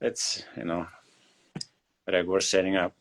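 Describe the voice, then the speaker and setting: Man, forties, from Bulgaria, English, deep voice, halting with lots of fillers, video call. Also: steady tone, fairly bright tone. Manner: hesitant, many fillers and pauses. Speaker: guest leatherworker and shoemaker. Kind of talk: casual interview over video call.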